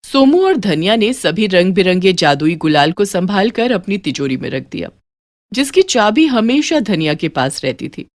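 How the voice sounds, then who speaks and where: A woman's voice: medium pitch, very animated, moderate pace, dry recording, clean studio sound. A woman narrating a scripted children's moral story. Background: music.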